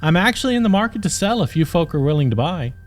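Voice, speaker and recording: A man's voice: fairly high pitch, sing-song, fast, voice pushed up. Retired knight, voiced by a man: smug, cheeky, unruffled, fantasy audiobook with background music.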